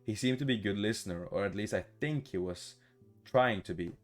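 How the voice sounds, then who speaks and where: A man's voice: fairly low pitch, a little fast. A man reading a visual novel aloud as a gaming YouTuber, stumbling over words now and then and adding his reactions. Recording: music behind the voice.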